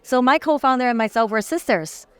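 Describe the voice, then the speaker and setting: A woman, a company CEO pitching her business in an interview, polished but with frequent fillers. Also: brisk, a bit bright, smooth, no room sound. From Singapore. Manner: polished, promotional, uses many fillers.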